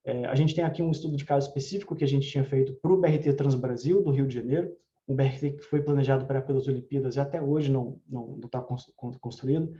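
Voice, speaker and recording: A man's voice: medium pitch, fairly even intonation, fast, warm tone. A man with a Brazilian Portuguese accent giving an explanatory presentation as a researcher, over a video call with dull, muffled sound. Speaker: researcher presenting at a round table.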